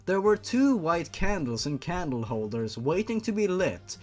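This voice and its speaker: Casting: male fan fiction reader, very animated, fairly high voice, theatrical and mocking. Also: measured pace. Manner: theatrical, sweary, incredulous asides. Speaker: host, reader. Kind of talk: mocking dramatic reading of fan fiction with commentary.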